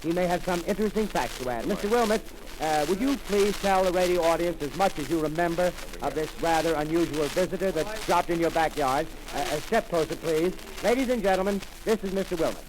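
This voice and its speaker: A man's voice: fairly high pitch, natural voice. A man playing an eager radio field reporter. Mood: eager, breathless. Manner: eager, halting live description with many fillers. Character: excited male radio field reporter at a crash site. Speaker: radio commentator. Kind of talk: 1930s radio drama acting. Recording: noisy old radio broadcast recording.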